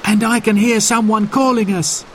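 A man voicing a brave, excited young boy in a storybook reading. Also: high voice, moderate pace, a little hiss or hum, voice pushed up, natural voice. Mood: relieved. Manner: urgent, excited exclamations.

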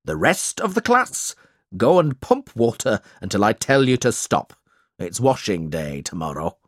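Guy doing a mean blustery schoolmaster, loud and bossy, voice swoops all over, slow and dry. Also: on the low side, sing-song, no echo. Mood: bullying. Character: cruel, boastful male schoolmaster, middle-aged. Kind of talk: audiobook character reading.

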